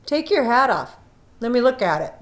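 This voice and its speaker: A cold, brusque woman merchant from a storybook, played by a woman reader. She is large and commanding, speaking in curt phrases with forceful swings in pitch. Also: very animated, normal speed. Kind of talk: storybook character voice.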